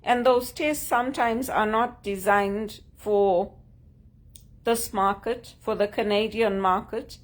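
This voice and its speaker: A woman from Zimbabwe with a Zimbabwean accent, talking to camera in an earnest, measured way about job hunting. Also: medium pitch, fairly even intonation. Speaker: job seeker sharing tips. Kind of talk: casual talk to camera.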